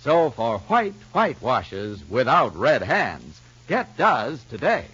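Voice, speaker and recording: Polished persuasive pitchman, male, vintage ad style, animated delivery, dull archival sound. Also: slow, husky, no room sound. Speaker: commercial announcer. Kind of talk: 1950s advertising read. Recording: muffled vintage broadcast recording.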